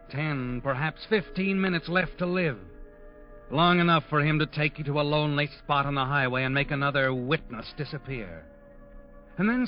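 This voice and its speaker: Guy acting old radio crime narrator man, slightly high voice, knowing and taunting, muffled w/ loud music behind. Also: natural voice. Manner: measured, knowing, taunting second-person address. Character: omniscient taunting male narrator of crime tales. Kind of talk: 1940s radio drama narration.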